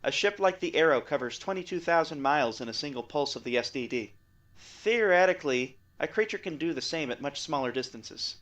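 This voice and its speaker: A man playing an excitable scientist in an audio drama, explaining technical things in a wry way. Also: on the high side, a little fast. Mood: explaining, keen. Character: excitable male scientist explaining space physics.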